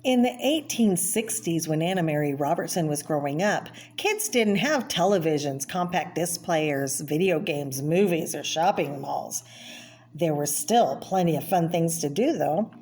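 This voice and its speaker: A woman reads a children's nonfiction book aloud at a very unhurried pace. Her voice sits a little low, and she explains things plainly for young listeners. There is clear noise in the homemade recording. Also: animated, darkish tone. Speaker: reader of a children's art book. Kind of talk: read-aloud narration with brief personal asides.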